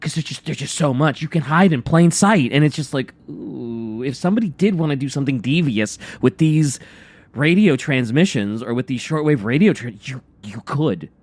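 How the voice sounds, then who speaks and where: A man's voice: mid-range voice, expressive, a little mellow, dry recording. Rambling male radio co-host, dry sound. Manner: halting, rambling, repeats phrases. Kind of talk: casual reactive banter.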